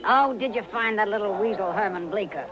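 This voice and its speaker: An actress playing a tough, swaggering woman saloon owner in a 1950s radio western, loud and folksy, with a rough voice. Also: mid-range voice, fairly quick, lo-fi sound, close to an everyday voice. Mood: brash, sly. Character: brash, tough, swaggering female saloon gunslinger. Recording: old radio recording with crowd behind.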